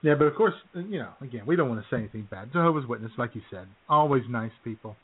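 A man's voice: mid-range voice, animated, fast, husky. A man co-hosting a comedy radio show in casual, sardonic banter with crude humour. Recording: narrow phone-like audio.

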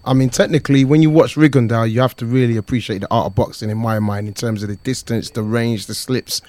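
A man's voice: mid-range voice, fairly even intonation, quick pace, darkish tone, no echo. A man hosting a casual boxing call-in show, chatty and easygoing, greeting callers. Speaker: host. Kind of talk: casual radio-style chat with callers.

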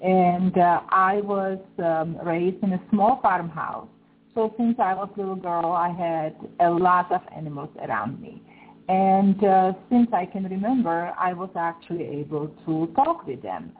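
Czech-accented woman on a thin phone line. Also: on the low side. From Czech Republic. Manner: careful, halting English with small grammar slips. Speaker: guest pet psychic. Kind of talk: call-in radio chat. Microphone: Skype call.

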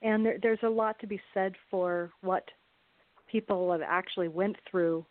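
A woman taking part in a casual group discussion, talking in a measured, considered way. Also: mid-range voice, no echo. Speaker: radio play cast member. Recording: dry, thin phone-like call audio.

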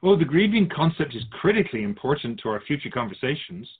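Man playing a serene soul keeper, animated pitch, phone-like. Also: fast talker, slightly rough. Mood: serene, knowing. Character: otherworldly Keeper of Souls, serene wise spiritual guide. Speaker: radio drama character. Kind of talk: radio drama dialogue. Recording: slightly muffled, phone-like narrow sound.

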